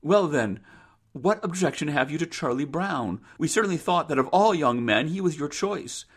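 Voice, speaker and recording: A man voicing a gentle, concerned mother who coaxes in formal old-fashioned language. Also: on the high side, lively intonation, moderate pace, husky, voice pushed up. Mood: concerned, coaxing. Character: gentle, coaxing, concerned middle-aged mother. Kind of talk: dramatized reading of an old sentimental tale.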